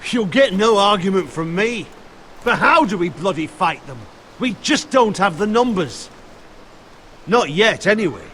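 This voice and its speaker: Blunt, loyal castle soldier. Gruff, very animated delivery, mid pitch, faint noise behind, game drama. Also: male voice, a little slow. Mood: frustrated, grim. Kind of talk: dramatic video game voice acting.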